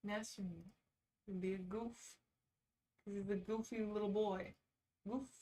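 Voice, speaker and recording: A woman's voice: mid-range voice, fairly even intonation, a little slow, mellow, far from the mic. A woman hosting a casual livestream, chatting informally and reacting off the cuff with sarcastic asides. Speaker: livestream host. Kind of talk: casual livestream chat, reading quizzes aloud.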